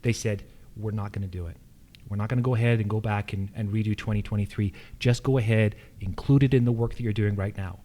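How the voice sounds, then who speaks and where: A man's voice: fairly low pitch, steady tone, quick pace, dark tone. An American man giving unscripted answers as a candidate at a public forum. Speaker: political candidate, incumbent county official.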